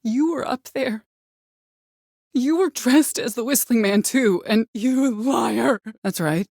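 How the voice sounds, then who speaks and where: An actress playing a woman caller in a video game, by turns desperate, coaxing and menacing, with a hushed, insistent way of pleading. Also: fairly high pitch, slow pace, gravelly, no echo, studio quality, heavily acted. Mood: cold menace. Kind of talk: video game voice acting, dramatic dialogue.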